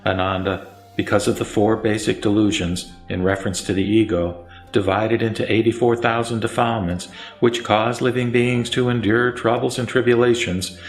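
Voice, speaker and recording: A man acts as a serene Buddha instructing his disciple in a sutra audio drama. His low voice moves in solemn, measured phrases. It rings with echo over quiet music. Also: lightly acted. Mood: solemn teaching. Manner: solemn, measured sermon delivery.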